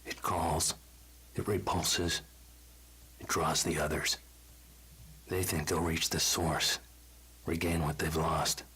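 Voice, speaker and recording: An actor playing a cold, tormented, vengeful male scientist in a video game audio log, speaking slowly and deliberately with a hushed, breathy menace. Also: very deep voice, flat delivery, exaggerated voice, poor audio. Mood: brooding, tormented. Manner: slow, deliberate, clinical, brooding menace.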